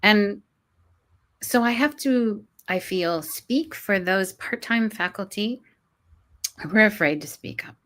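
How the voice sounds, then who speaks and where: A woman's voice: medium pitch, expressive, takes it slowly, fairly bright tone. A woman in an interview who talks earnestly as a guest, restarting her words often and sometimes laughing as she goes. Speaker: guest, college professor. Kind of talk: conversational interview.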